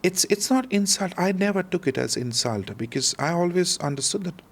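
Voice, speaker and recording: A man's voice: sits high, expressive, fairly quick, slightly rough. A young man with an Indian accent, chatting casually as an interview guest, hesitant with many restarts and easy laughs. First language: not Hindi, another Indian language. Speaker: guest, expat in Russia.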